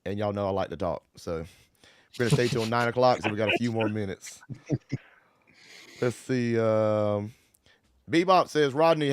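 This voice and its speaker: Hoarse guy rambling on a livestream, dry close mic, kinda sick sounding. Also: mid-range voice, dry recording. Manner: relaxed, rambling, Southern-inflected "y'all". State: hoarse from a cold. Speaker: livestream host. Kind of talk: casual livestream chat.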